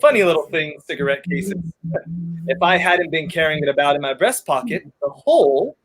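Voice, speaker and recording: A man's voice: on the high side, lively intonation, normal speed, fairly bright tone, low-quality recording. A young man playing a debonair, wry fugitive in a 1930s radio play, with a clipped theatrical delivery. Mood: wry, matter-of-fact. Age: young adult. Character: debonair, wry wrongly accused man on the run.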